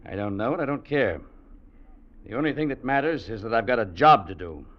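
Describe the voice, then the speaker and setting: An actor playing a hard, dutiful Mountie sergeant who talks curtly and sternly, in 1940s radio drama style. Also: male voice, medium pitch, animated, a little fast, lightly acted. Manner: curt, stern and unyielding. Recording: dull old radio recording, faint hiss.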